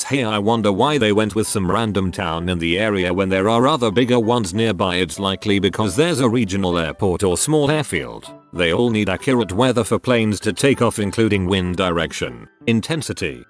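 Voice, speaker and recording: A man narrating online posts aloud in a steady read-aloud delivery. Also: on the low side.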